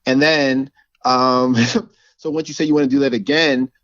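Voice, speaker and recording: A man's voice: mid-range voice, steady tone, measured pace, fairly bright tone, no echo, dull audio. A man, an author and teacher, talking thoughtfully in a casual conversation, often restarting his sentences.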